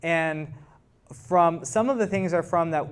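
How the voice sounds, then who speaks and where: A man's voice: a little high, measured pace, clean tone. A man, a guest researcher, answering audience questions in an informal, explanatory way and thinking aloud. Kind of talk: informal scientific Q&A after a talk.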